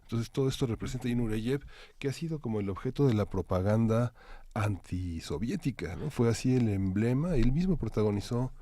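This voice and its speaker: A man co-hosting a live discussion, commenting on culture in a halting, discursive way with frequent tag questions. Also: medium pitch, dark tone, no echo. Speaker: co-host.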